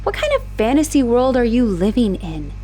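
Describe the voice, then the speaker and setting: A woman playing an obsessive, manipulative young woman who escaped an asylum, in a teasing, expressive roleplay monologue spoken straight to the listener. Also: a little high, moderate pace. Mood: irritated, scolding. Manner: teasing, possessive, expressive, swinging between sweet and exasperated. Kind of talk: dramatic roleplay audio monologue addressed to the listener.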